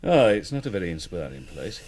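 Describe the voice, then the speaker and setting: Husky, animated Northern English working man. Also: deep voice, brisk. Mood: coaxing. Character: Roy, working-class man coaxing a young punter into business. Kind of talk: naturalistic radio drama dialogue.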